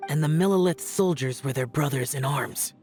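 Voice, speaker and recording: A man voicing an aloof, ageless young immortal guardian in a video game, speaking gravely and in measured phrases. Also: a little high, a little mellow, soft background music, close to an everyday voice. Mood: somber. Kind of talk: English game dub cutscene acting.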